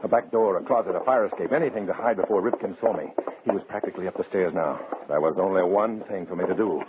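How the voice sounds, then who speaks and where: A man's voice: low voice, quick pace, natural voice. An actor playing a tense, hard-boiled undercover agent, narrating his own story in clipped, dramatic 1950s radio suspense style. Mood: anxious, suspenseful. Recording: crackly, narrow-band old radio recording.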